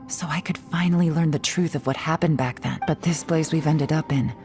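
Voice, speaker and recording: A determined woman investigator in a video game. She is low-pitched, grave and measured, earnest throughout, over faint music. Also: fairly even intonation. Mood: grave, confiding. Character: grave, determined adult female investigator with a secret past.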